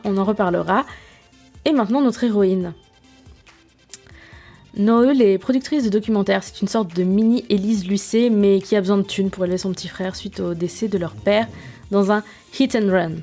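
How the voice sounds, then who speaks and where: A woman's voice: mid-range voice, warm tone. A young woman hosting a podcast, reviewing a series in a casual, self-mocking way with many fillers and restarts. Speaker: podcast host.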